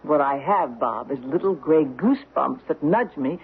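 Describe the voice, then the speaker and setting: An actress playing a skeptical, intuitive young American wife in a radio drama, with a doubting, questioning manner. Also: female voice, deep voice, animated, moderate pace, husky, phone-quality sound, exaggerated voice. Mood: suspicious, playful. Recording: thin, hissy old radio recording.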